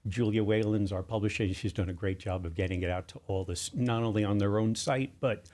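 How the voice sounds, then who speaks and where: Low-voiced male writer being interviewed. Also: brisk, darkish tone. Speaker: guest writer and producer. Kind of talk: conversational interview. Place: radio studio.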